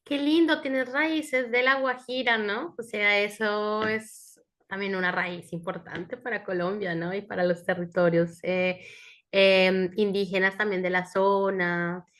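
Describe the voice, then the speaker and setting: A woman's voice: medium pitch, steady tone, measured pace. A woman hosting an interview, introducing her guest and asking questions in an affirming way. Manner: warm, affirming, asks questions with tag "¿no?". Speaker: interview host.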